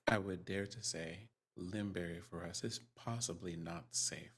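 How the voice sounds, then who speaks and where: A man improvising in character in a tabletop roleplaying game over a video call, using formal, measured wording. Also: deep voice, a bit bright, husky. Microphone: close mic on a video call.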